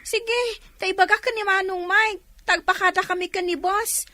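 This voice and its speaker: A woman's voice: very high voice, steady tone, normal speed, pushed and bright, dry recording. A woman with a Filipino accent playing a flustered, eager younger sister in a radio drama. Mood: panicky.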